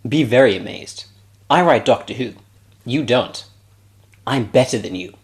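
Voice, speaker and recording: A man playing a smug, boastful, crude TV writer, speaking in short deadpan statements in an amateur parody. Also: mid-range voice, measured pace, pitched below the performer's own voice.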